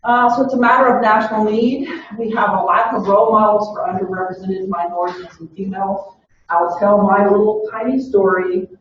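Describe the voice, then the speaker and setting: A woman's voice: medium pitch, steady tone, brisk, a little hiss or hum. Woman speaking from far in an echoey room. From Oklahoma. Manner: plain storytelling, rhetorical questions. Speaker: university diversity administrator. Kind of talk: presentation to a search committee. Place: meeting room. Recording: distant, echoey, dull room recording.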